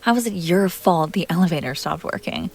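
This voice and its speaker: A woman's voice: fairly low pitch, expressive, fairly quick, a little mellow. A woman playing a self-assured, playful vampire heiress in an intimate roleplay audio drama, talking directly to the listener. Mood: wry, friendly.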